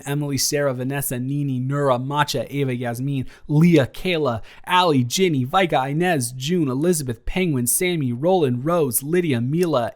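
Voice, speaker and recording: Man reading out a supporter roll call. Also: a little high. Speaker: audio roleplay creator. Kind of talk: reading supporter names and channel plug.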